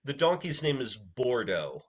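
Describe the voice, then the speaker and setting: A man's voice: medium pitch, big pitch swings, a little slow, slightly rough. A man directing a recording session casually, in a rambling, jokey way.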